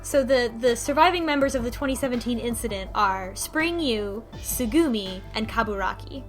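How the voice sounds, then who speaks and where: Higher-pitched woman recapping a story. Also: moderate pace, soft background music. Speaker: podcast co-host recapping a story. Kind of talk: casual plot recap discussion.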